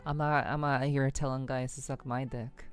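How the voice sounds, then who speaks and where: Low-voiced woman streaming. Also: level tone. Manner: rambling, halting, repeats phrases. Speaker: VTuber streamer. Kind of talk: casual livestream chat with viewers. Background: faint music.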